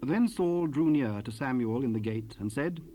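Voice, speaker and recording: A man narrating scripture from a script in a formal, measured way. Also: mid-range voice, lively intonation, dark tone. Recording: hissy 1970s cassette rip.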